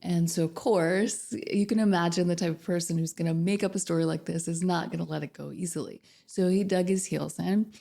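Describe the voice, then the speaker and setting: A woman coach with a slightly hoarse voice from a cold, chatting directly to viewers about relationships and asking them questions. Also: fairly low pitch, steady tone, fairly quick, hardly any pauses, warm tone. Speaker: narcissistic abuse recovery coach. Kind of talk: casual direct-to-camera advice talk.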